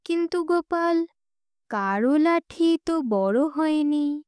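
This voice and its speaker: A woman's voice: high-pitched, expressive, slow, darkish tone, no echo, studio quality, pitched above the performer's own voice. A woman voicing a greedy, hot-tempered king in a storybook tale, commanding and emphatic. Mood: surprised.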